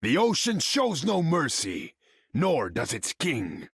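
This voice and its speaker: An actor playing a proud, imperious undersea king in a video game, speaking formally and pompously with a husky edge. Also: on the high side, huge pitch swings, slow pace, fairly bright tone, no echo, clean studio sound, full character voice. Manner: pompous, formal, grandiose, speaks of himself in third person. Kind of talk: video game character voice lines.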